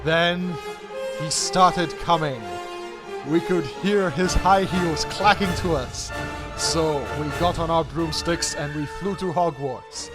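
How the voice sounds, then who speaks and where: A man giving a theatrical, deliberate dramatic reading of fan fiction. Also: fairly high pitch. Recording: music playing behind the voice. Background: background music.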